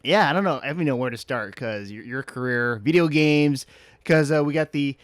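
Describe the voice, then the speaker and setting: A man hosting a casual interview, asking in a rambling, halting way. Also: mid-range voice, over music. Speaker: interviewer, host.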